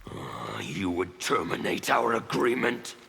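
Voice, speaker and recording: A man voicing a fanatical anarchist warrior in a fighting game, with a harsh gravelly rasp and a theatrical, taunting, defiant delivery. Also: deep voice, big pitch swings, unhurried, bright, forceful tone, exaggerated voice. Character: fanatical male anarchist warrior preaching chaos and freedom. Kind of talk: fighting game character acting. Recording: reverberant hall, background noise.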